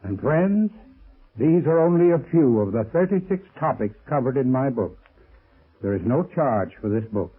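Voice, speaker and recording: Male sponsor spokesman, old-time radio; deliberate delivery, rough-edged voice, very narrow lo-fi sound. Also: mid-range voice, lively intonation. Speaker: heating expert sponsor spokesman. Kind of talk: 1930s radio advertising pitch.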